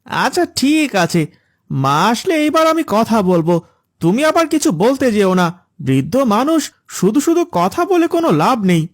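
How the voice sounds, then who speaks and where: A man's voice: very high-pitched, sing-song, normal speed, no room sound, full character voice. Man acting an irritable son with swooping intonation. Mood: placating. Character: neglectful adult son, irritable, evasive, hard-up. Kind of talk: cartoon moral story dialogue. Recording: music under the voice.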